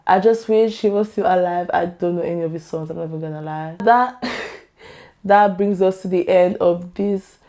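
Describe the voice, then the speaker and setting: Woman vlogger, voice gone hoarse, low and mellow, chatty and opinionated. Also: steady tone, moderate pace. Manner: chatty, opinionated, laughs often. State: hoarse, losing her voice. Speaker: YouTube vlogger. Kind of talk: casual chat to camera.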